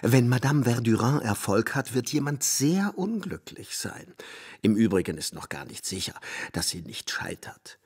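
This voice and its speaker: An actor playing an anxious, sympathetic scholar in a radio play, confiding in a husky, breathy voice. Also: male voice, mid-range voice, sing-song, moderate pace. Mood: worried, confiding. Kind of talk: German radio play acting.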